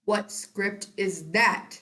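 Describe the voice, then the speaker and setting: Placating, flustered film director, played by a teen girl; medium pitch, theatrical school-play comedy delivery. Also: drawn-out words, bright, forceful tone. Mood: casual. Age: teenager. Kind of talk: school one-act comedy stage acting.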